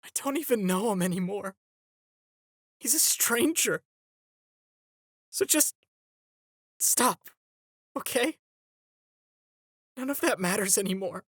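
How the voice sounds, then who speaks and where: A woman playing a wounded, defensive teenage boy turned villain in a comic dub, guarded and halting. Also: mid-range voice, lively intonation, breathy edge, no room sound, studio quality. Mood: bitter, resigned. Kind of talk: dramatic comic dub voice acting.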